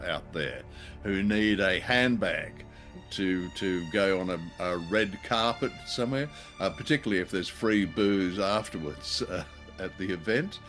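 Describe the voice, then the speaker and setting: A man co-hosting a conversational review show with a droll, halting delivery. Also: lowish voice, a bit bright. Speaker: podcast co-host. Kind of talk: conversational radio drama review.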